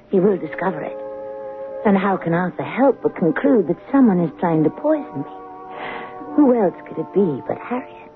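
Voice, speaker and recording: A nervous, scheming widow, played by an actress in an old radio drama, talking in a flustered, theatrical rush. Her voice sits somewhat high. The recording is tinny and narrow like an old broadcast. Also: lightly acted. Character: nervous scheming rich widow, middle-aged.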